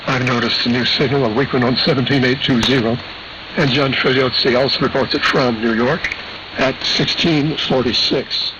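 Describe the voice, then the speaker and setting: A man's voice: medium pitch, steady tone, normal speed, dull audio. A man hosting a radio program, reading a scripted shortwave news bulletin in a matter-of-fact way. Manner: matter-of-fact, spells out letters and frequencies. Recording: hissy, band-limited AM shortwave broadcast.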